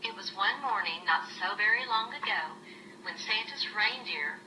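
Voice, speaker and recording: A woman's voice: medium pitch, normal speed, bright, forceful tone, a little hiss or hum. An older woman telling a children's story expressively, as a grandmother reading aloud. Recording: roomy, distant home recording with music. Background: music.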